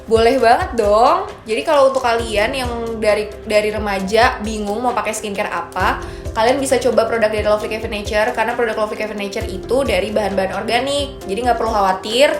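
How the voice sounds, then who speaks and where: A woman's voice: fairly high pitch, fast talker. Chatty young woman in an echoey room. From Indonesia. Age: 20s. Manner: chatty, upbeat, mixes in English phrases. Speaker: brand founder answering viewer questions. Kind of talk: casual chat. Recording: echoey room with soft music.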